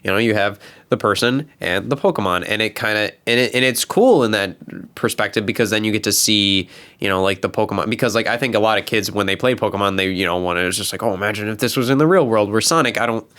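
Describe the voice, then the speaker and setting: A man's voice: fairly low pitch, quick pace, fairly bright tone. A man in a casual panel chat, speaking in a rambling, conversational way. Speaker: talk show panelist.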